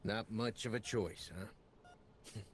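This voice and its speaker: A man's voice: on the low side, steady tone, slow pace, slight background noise. A man playing a dry, reluctant ally in a cinematic sci-fi game. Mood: wry resignation. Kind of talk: cinematic video game voice acting.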